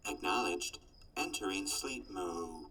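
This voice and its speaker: A man's voice: deep voice, animated, slow, pushed and bright, full character voice, low-quality recording. A man voicing an obedient, emotionless robot companion in an audio drama, speaking in clipped, formal machine phrases. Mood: flat, obedient. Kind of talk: post-apocalyptic audio drama.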